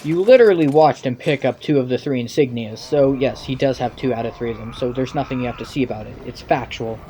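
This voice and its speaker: A man's voice: medium pitch, fast, warm tone, slight background noise. A man giving nitpicking video game commentary in a sarcastic, incredulous manner.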